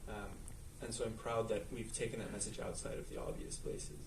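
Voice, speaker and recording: A man's voice: lowish voice, level tone, a little fast, a little hiss or hum. A man from the United States, a film director, answering questions in a festival Q&A in a thoughtful, earnest way with fillers. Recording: echoey room, mic at a distance.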